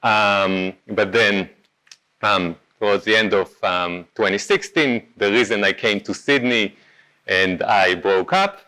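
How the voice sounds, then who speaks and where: A man's voice: on the low side, slow pace, sharp tone, low-quality recording. A man in his thirties with an Israeli accent, giving a casual talk at a meetup, with many ums and self-corrections. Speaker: meetup speaker. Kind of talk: conference talk.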